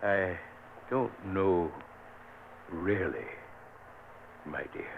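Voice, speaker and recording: An actor playing a gentle family doctor who soothes a dying patient, in vintage radio drama style. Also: male voice, sits low, animated, very slow, gravelly, full character voice. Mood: soothing. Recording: scratchy, tinny old radio recording with hiss.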